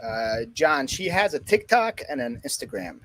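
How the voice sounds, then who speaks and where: A man's voice: on the high side, big pitch swings, moderate pace, bright, forceful tone. A man in his thirties from Ukraine with a Ukrainian accent tinged with Philadelphia, hosting a casual chat and joking and laughing at his own lines. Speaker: host.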